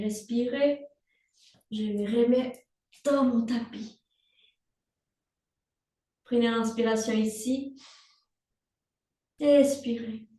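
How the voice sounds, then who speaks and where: Young female yoga instructor, unhurried soothing cueing; distant mic in a roomy space. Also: fairly high pitch. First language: Portuguese. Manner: slow, calm cueing with breath prompts. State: pregnant. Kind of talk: guided prenatal yoga class. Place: room at home, instructing from a mat. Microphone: laptop mic at a distance.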